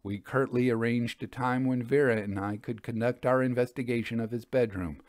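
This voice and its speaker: A man's voice: medium pitch, fairly even intonation, moderate pace, no echo. Dry-recorded male story narrator. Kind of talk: scripted first-person literary narration.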